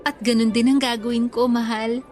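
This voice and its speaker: A woman's voice: on the high side, flat delivery, fairly quick, a little mellow, close to an everyday voice. An actress playing a sweet, devoted young girlfriend in a Tagalog radio romance, tender and effusive. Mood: tender, delighted. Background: faint music.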